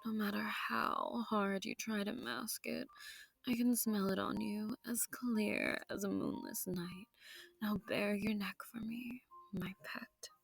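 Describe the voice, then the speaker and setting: A woman playing a centuries-old, sadistic and possessive female vampire in an intimate audio roleplay, silky and taunting, slipping into breathy whispers. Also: mid-range voice, fairly even intonation, takes it slowly, exaggerated voice. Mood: gloating, hungry. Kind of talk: intimate scripted audio roleplay addressed to the listener.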